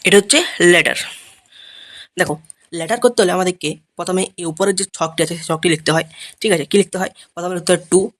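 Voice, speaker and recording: A man's voice: high voice, brisk, a bit bright. A man with a Bengali accent giving an informal tutorial as an English teacher, explaining step by step and checking that students follow. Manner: instructional, often checking understanding with short tag questions. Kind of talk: informal tutorial, reading and translating line by line.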